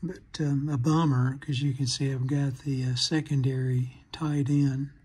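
Guy doing a workbench repair walkthrough, slow n kinda husky, pauses to think out loud. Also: mid-range voice, level tone. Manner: halting, thinking aloud. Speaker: host of a radio repair channel. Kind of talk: hands-on repair demonstration narration.

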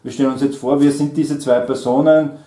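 A middle-aged man runs a spoken exam, pausing often on fillers. His tone is mellow and relaxed. The sound is roomy, from a camera across a small room. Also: medium pitch. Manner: hesitant, many fillers, friendly laughs. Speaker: German trainer and examiner. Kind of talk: oral language exam conversation. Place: small indoor room at a table.

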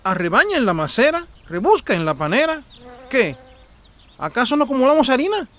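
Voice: sits high, very animated, fairly quick, fairly bright tone, slight background noise. An actor playing a demanding, grumbly old peasant husband in a children's cartoon dub, nagging as he talks. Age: older.

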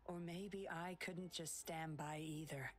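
An actress playing a sly, secretive young woman patroller in an anime-style video game, cool, measured and teasing. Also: low-pitched, steady tone, slight background noise.

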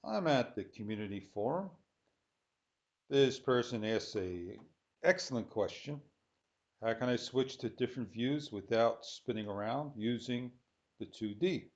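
A man hosts an unscripted screen tutorial at a slow pace. He speaks in short, halting phrases, thinking aloud as he goes. His mid-pitched voice is captured in dull, lo-fi sound. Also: lively intonation. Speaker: software tutorial host.

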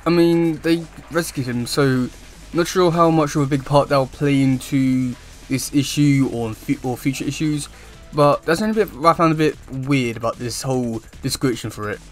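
A young man chatting casually to camera as a YouTube host, with a halting, wordy delivery. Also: mid-range voice, faint music behind. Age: young adult. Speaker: YouTube host reviewing comic news. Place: small room indoors at home.

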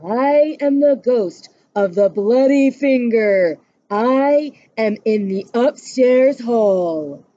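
Lady putting on a spooky moaning ghost, super slow and drawn out, kinda muffled. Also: on the high side, expressive, dark tone, no room sound. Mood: eerie moaning. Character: moaning ghost with a bloody finger.

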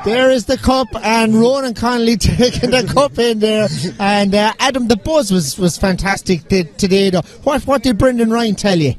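A man with an Irish accent interviewing players pitchside after a match, holding a handheld mic outdoors in a stadium. He talks in an upbeat, gushing way. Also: normal speed, few pauses. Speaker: sideline interviewer.

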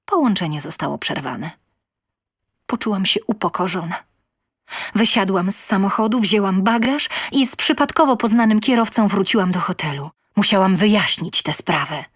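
A woman narrates a first-person story from a script. Her voice is mid-pitched and her reading expressive and dramatic, recorded dry. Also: moderate pace, husky, no echo. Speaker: story reader.

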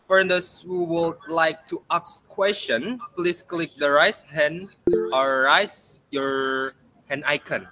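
A man's voice: on the high side, fairly even intonation, slow, pushed and bright, low-quality recording. A man moderating a question and answer session, politely inviting participants to raise their hands. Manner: polite, repeats his request.

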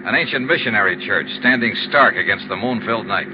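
A man's voice: on the low side, quick pace, background noise, natural voice. An American actor playing a tough, matter-of-fact insurance investigator who narrates his case in a 1950s radio drama. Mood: dry, narrating. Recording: muffled 1950s radio recording with music.

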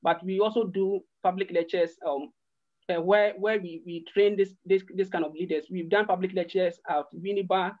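A man's voice: high-pitched, steady tone, moderate pace. A man in his thirties with a Ghanaian accent, speaking earnestly as an interview guest and repeating words as he searches for them. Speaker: guest, organisation founder. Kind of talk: video-call interview. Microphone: laptop webcam on video call. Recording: muffled video-call audio.

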